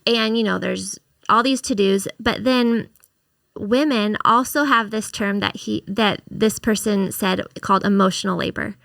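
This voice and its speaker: Gentle-voiced woman with a gravelly edge. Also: mid-range voice, unhurried, no room sound. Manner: gentle, reflective, speaks of women's experience. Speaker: podcast co-host and author. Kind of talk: casual faith-based podcast conversation.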